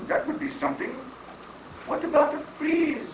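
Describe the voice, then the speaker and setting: A man playing a calm, coaxing guru in a satirical stage reading, with halting, stilted phrasing. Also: very high-pitched. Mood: soothing explaining. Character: calm, coaxing male guru advising a president. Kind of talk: dramatic reading of a satirical play. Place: roomy indoor live reading, far from the mic. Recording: roomy, distant, noisy 1980s live recording.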